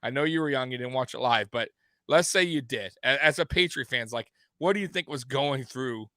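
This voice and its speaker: A man's voice: medium pitch, brisk, sharp tone, no echo. A man in his forties from Miami hosting a casual sports talk show, rambling with many restarts. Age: 40s. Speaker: podcast host.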